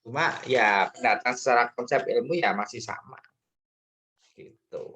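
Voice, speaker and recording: A man's voice: medium pitch, normal speed, pushed and bright. A man lecturing in an informal, chatty way, often checking in with questions during an online class discussion.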